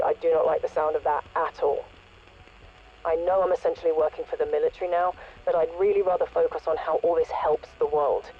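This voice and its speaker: A woman's voice: lowish voice, breathy edge. Inquisitive woman scientist, conversational and self-correcting, video game audio log, dull lo-fi sound. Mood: earnest. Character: earnest, curious woman scientist with a conscience. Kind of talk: acted audio log, thinking aloud.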